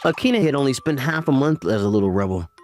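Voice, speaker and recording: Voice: medium pitch, expressive, quick pace, a little mellow, lightly acted. A performer voicing a caring, teasing young uncle in a romantic comedy manga story, mixing narration with dialogue in a mild manner. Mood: matter-of-fact narrating. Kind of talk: anime-style recap narration and dialogue. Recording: music under the voice. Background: background music.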